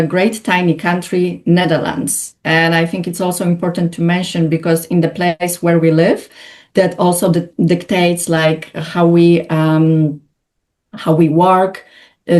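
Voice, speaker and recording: A woman's voice: lowish voice, darkish tone. A woman from Poland, speaking as an interview guest in a hesitant way with many filler sounds. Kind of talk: conversational interview.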